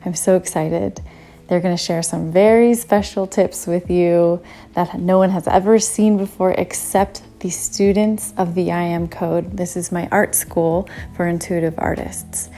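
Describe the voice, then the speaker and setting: Mellow-voiced female host, gushing and warm in tone. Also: lowish voice, fairly even intonation, measured pace, faint music behind. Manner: effusive, repeats words. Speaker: interview host, art school founder. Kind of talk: casual interview.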